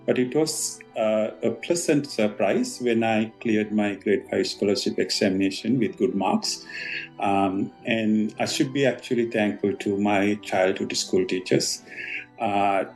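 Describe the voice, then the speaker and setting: Sri Lankan doctor in his fifties, low-pitched, halting interview answers. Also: faint music behind, poor audio. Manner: hesitant, many ums and uhs. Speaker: guest doctor.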